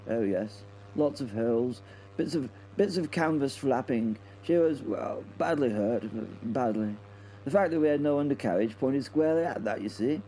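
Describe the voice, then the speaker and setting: Man as a mellow, stammering British wing commander. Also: a little high, a little hiss or hum, lightly acted, low-quality recording. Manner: hesitant, stammering, repeats himself. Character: flustered, stammering male wing commander pilot recounting a mission. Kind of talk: dramatic first-person narration in audio drama.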